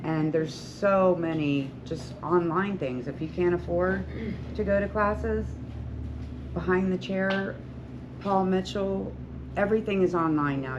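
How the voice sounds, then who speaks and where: Encouraging woman in her sixties, noisy room. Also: on the low side. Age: 60s. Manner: earnest, encouraging, rambling with restarts. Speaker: hairstylist and salon owner. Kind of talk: talk to beauty school students. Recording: lo-fi with loud background noise.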